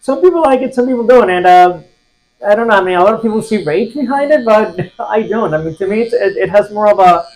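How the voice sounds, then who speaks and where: Halting man from Puerto Rico, high voice. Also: expressive, a little mellow, poor audio. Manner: hesitant, many fillers and restarts. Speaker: podcast guest. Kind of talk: casual conversation.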